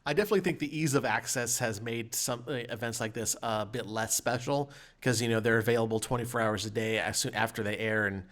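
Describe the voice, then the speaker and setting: Mid-pitched man chatting on a podcast. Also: brisk, hardly any pauses, fairly bright tone. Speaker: podcast co-host and games journalist. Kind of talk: casual opinionated podcast chat.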